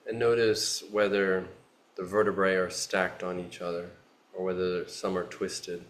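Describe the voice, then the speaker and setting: A man leading a guided meditation as a teacher, speaking in a soothing, unhurried manner with long pauses. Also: deep voice, level tone. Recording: roomy, echoing space.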